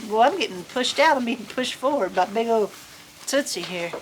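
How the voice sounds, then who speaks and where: A woman reading a picture book aloud to children in an expressive, folksy, chatty storytime manner. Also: a little high, moderate pace, slight background noise, poor audio. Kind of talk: animated children's story reading with casual asides. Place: on a farm among sheep.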